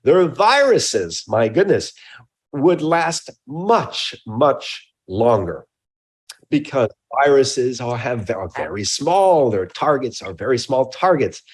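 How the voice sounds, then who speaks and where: A man being interviewed as a scientist, explaining his research emphatically and haltingly, often repeating words. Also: mid-range voice, big pitch swings, husky.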